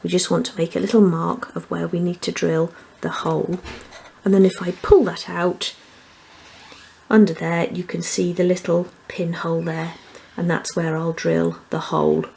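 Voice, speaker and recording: Low-pitched woman giving step-by-step craft instructions. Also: flat delivery, normal speed, vocal fry, darkish tone, a little hiss or hum, poor audio. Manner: methodical, explanatory. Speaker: craft tutorial presenter. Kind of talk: hands-on step-by-step instructional demonstration.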